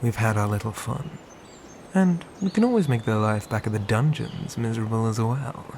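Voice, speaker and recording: A man playing a dutiful, ambitious knight and protective brother in a scripted medieval fantasy audio roleplay, with measured, formal phrasing. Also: on the low side, expressive, a little mellow, a little hiss or hum. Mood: menacing, businesslike.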